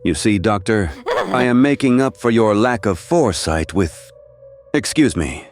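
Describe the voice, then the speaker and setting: Man acting a cartoon villain scientist, low voice, polite, measured, condescending, music behind. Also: lively intonation. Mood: smug. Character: coolly polite, scheming scientist henchman villain. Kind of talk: comic fan dub voice acting.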